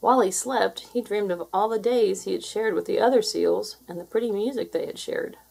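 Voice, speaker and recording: A woman reading a children's storybook aloud as an expressive, scripted narration. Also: mid-range voice, brisk, gravelly, breathy edge, poor audio. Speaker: storybook reader.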